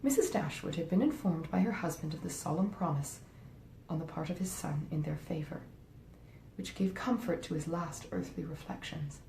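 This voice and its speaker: Mellow, expressive woman narrator in echoey room. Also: moderate pace, creaky. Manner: expressive, literary, carefully phrased. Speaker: audiobook narrator, author. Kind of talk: live read-aloud narration of a classic novel. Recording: roomy echo, faint rumbling noise.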